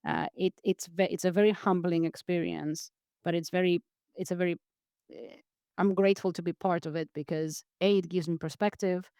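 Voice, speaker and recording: A woman from Greece speaks as a guest on a podcast, earnest and reflective, often repeating words as she restarts a thought. Her voice is smooth and resonant, and the recording is close and dry, with no room sound. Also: on the low side, fairly even intonation, moderate pace, mellow, no room sound. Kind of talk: reflective interview talk.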